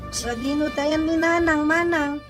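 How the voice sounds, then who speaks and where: Youngest child role, played by a woman: high, worried voice, plaintive radio serial delivery. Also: a little slow, music in the background. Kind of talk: radio drama acting.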